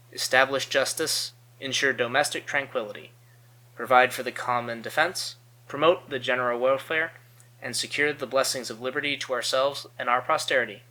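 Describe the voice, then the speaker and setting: An American man hosts earnest commentary in a bright, forward voice. His pitch is middling, and he sets out each point with deliberate emphasis. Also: low-quality recording. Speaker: host of a political commentary channel.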